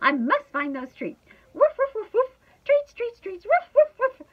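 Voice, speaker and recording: A woman voicing an excitable little male dog in a children's audiobook, exuberant and breaking into woofs between phrases. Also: extremely high pitch, measured pace, narrow, tinny audio, voice pushed up, full character voice. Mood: frantic searching. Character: excitable, boastful little male pet dog, eager and barking.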